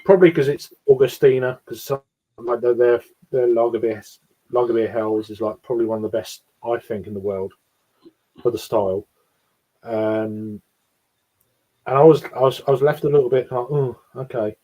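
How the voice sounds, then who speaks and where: A man's voice: mid-range voice, steady tone, normal speed, mellow, rough recording. Rambling man talking beer. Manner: rambling, restarts often, lots of fillers. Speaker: guest beer reviewer. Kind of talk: casual chat.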